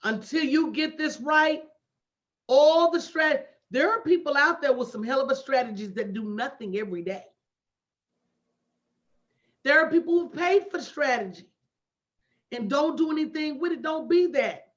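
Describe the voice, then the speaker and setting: A woman's voice: sits high, expressive, normal speed, pauses often. A woman in her late forties coaching a live online audience with emphatic, preacher-like repetition and folksy, direct address. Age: late 40s. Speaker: motivational business coach hosting a livestream. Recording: dull lo-fi livestream audio.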